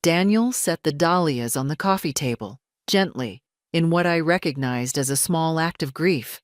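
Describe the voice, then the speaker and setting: A woman's voice: low-pitched, moderate pace, no room sound, clean studio sound. A woman narrating a scripted audio story in the first person.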